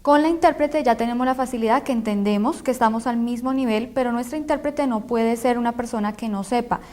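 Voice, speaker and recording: Woman, higher pitch, plain steady interview answer. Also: fairly quick.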